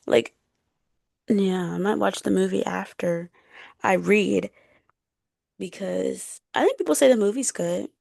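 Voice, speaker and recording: A young woman chats casually as a podcast co-host, peppering her talk with slang. Her mid-pitched voice has noticeable vocal fry and sits in a dry, close recording. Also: unhurried, pauses often, a little mellow, no echo. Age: young adult. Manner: colloquial, slangy, frequent "like". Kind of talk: casual chat. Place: bedroom.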